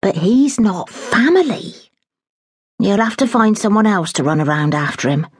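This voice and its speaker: Woman putting on a fussy housekeeper, bossy, dramatic, husky edge, very dry sound. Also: medium pitch, big pitch swings, normal speed, dry recording. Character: flustered middle-aged female housekeeper, bossy.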